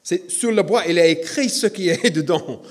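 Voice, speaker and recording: A man's voice: sits high, normal speed. A man preaching a sermon in a church, hesitant with many fillers and self-deprecating.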